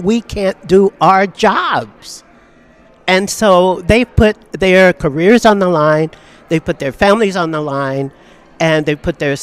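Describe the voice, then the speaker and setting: An older woman from Southern California, a professor speaking earnestly and in measured phrases in an outdoor news interview on campus. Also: deep voice.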